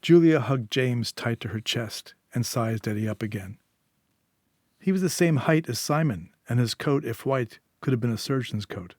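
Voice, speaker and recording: A man's voice: mid-range voice, expressive, normal speed, a little mellow, no room sound, studio quality. A man narrating a literary short story as its author. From United States.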